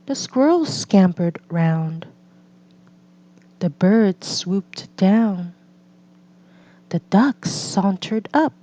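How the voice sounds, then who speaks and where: A woman reading a picture book aloud as a storyteller, in gentle, deliberate phrases with drawn-out pauses. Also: mid-range voice. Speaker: read-aloud storyteller for children and English learners. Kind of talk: slow scripted picture-book narration. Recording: slightly dull, lo-fi recording.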